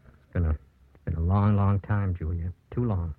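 Male, protective earnest lawyer, deep and husky, reassuring, dry muffled old radio recording. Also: steady tone, moderate pace, no room sound. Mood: tender, urgent. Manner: gentle, reassuring, insistent. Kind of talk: 1950s radio drama acting.

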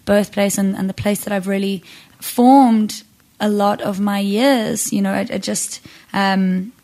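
A woman's voice: mid-range voice, fairly even intonation, a little slow, mellow. A young woman from Zimbabwe, a singer-songwriter, talking casually in an interview, thoughtful and full of ums and restarts.

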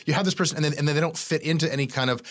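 Fast-talking male interviewer. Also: on the high side. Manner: probing, hesitant restarts. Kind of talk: interview conversation.

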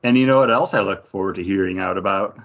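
A man's voice: deep voice, fast, a bit bright, dull audio. An American man co-hosting a podcast, chatting in a casual and informal style. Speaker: podcast co-host. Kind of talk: casual, joking chat.

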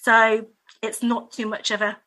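A woman guest on a podcast speaking reflectively, with soft laughs. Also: on the high side, flat delivery, a little slow, poor audio. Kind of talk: podcast conversation.

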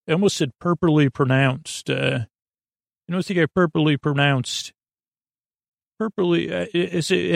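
A man hosting a sleep podcast in a meandering, halting monologue that keeps drifting off topic, with a gravelly, creaky voice. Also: a little high, dry recording, clean studio sound. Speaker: podcast host.

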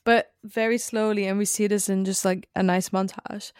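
A woman co-hosting a podcast, talking through a film thoughtfully with frequent ums and pauses. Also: mid-range voice, level tone, a little mellow, smooth, no room sound, clean studio sound. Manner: thoughtful, hesitant, many ums and likes. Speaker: podcast co-host. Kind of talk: casual film discussion chat.

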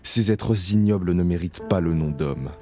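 An actor playing a haunted male camp survivor in a radio drama, speaking in a slow, solemn way. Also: low-pitched, natural voice. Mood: grave. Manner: slow, solemn, measured delivery. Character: adult man, camp survivor, haunted and grave. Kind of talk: radio drama first-person narration. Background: music.

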